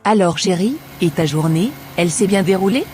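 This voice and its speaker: Cheerful young wife, as a man puts her on, high raised voice, sweet and affectionate, cartoon. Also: fairly quick, darkish tone, faint music behind, natural voice.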